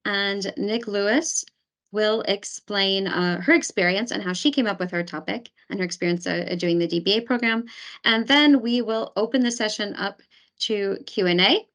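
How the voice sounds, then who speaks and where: Woman hosting a webinar, halting. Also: mid-range voice, fairly bright tone. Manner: hesitant, many fillers and restarts. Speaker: webinar host, program advisor. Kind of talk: webinar presentation. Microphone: video call.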